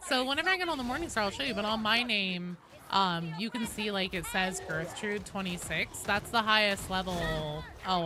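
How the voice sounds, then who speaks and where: A woman streaming a game, chatting casually and informally as she talks directly to her viewers. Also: mid-range voice. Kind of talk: casual livestream chat with viewers while gaming. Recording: clearly noisy background.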